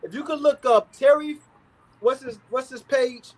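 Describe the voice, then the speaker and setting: A man's voice: very high voice, a little slow. A man in his thirties hosting a casual livestream talk show, speaking in laid-back slang and often asking "you feel what I'm saying".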